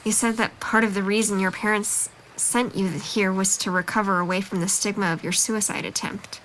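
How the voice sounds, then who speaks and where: A woman's voice: medium pitch, flat delivery, normal speed, fairly bright tone, slight background noise, natural voice, poor audio. Direct, candid young woman, acted. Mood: concerned, bittersweet. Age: young adult. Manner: direct, questioning, composed. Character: candid, level-headed young woman being broken up with. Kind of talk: naturalistic dramatic dialogue.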